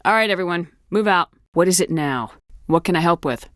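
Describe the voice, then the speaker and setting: A woman's voice: on the low side, expressive, brisk, no room sound, clean studio sound, close to an everyday voice. A woman playing a tough, decisive space soldier in a video game, speaking with firm, clipped authority. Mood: matter-of-fact.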